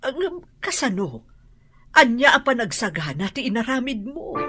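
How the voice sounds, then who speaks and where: A woman acting a wary, questioning wife with a measured manner in a radio drama. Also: a little high, very animated, over loud music. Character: wary, questioning adult wife plotting in secret.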